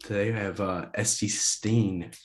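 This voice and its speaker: A man's voice: fairly low pitch, fairly even intonation, measured pace. A man hosting a podcast, asking loose questions full of fillers. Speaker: podcast host. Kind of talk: casual interview chat.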